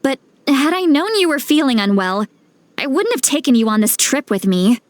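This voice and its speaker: A woman playing a caring, self-assured sage in a video game, with an assured, gently advising manner. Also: sits high, very animated, moderate pace. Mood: concerned.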